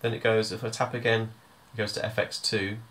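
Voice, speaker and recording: Low-voiced man giving a tutorial. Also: flat delivery, brisk, fairly bright tone. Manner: informal, hesitant step-by-step explaining. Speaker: music tutor. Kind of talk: hands-on software tutorial.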